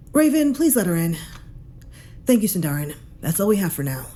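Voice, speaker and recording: An actress playing a calm, firm detective inspector, measured and skeptical, in a science fiction audio drama. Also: female voice, mid-range voice, background noise. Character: calm, firm, dry female detective inspector aboard spaceship.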